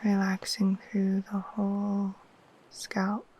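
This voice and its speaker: A woman leads a guided sleep meditation in a soft, breathy near-whisper. Her tone is mellow and very even, with little rise or fall. She speaks very slowly and gently, leaving long, soothing pauses. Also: medium pitch, a little hiss or hum, low-quality recording. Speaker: yoga nidra guide. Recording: faint steady wash of ocean waves.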